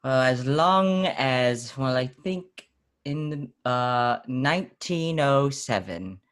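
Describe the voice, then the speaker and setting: A man playing a proud, genial veteran New York newsboy who talks haltingly and grandly about himself in improvised comedy. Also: medium pitch, expressive, fairly bright tone, clear, resonant tone. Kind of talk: improvised comedy sketch spoofing old radio plays.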